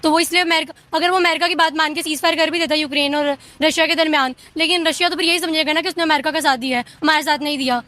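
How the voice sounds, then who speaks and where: A woman's voice: sits high, steady tone, fast, few pauses, pushed and bright. A woman with a Pakistani accent giving off-the-cuff opinions in an interview, mixing in English words.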